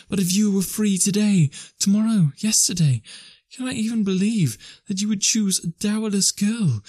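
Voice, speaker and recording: A man reading aloud and voicing a gentle, sorrowful young woman who speaks softly and earnestly as she releases the man she was to marry. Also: high voice, dry recording, studio quality, voice pushed up. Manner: soft, earnest, steady.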